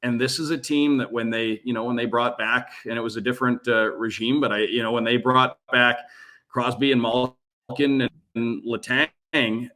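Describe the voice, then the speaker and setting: A man's voice: mid-range voice, fairly even intonation, moderate pace, bright, forceful tone, low-quality recording. A man who works as a radio play-by-play broadcaster, guesting on a casual sports podcast and talking through his analysis in long, flowing runs.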